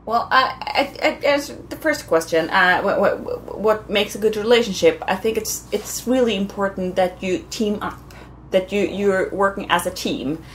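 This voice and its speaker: A woman's voice: medium pitch, normal speed, a little hiss or hum. A woman from Sweden, a photographer guest, answering in an interview hesitantly with many restarts. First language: Swedish.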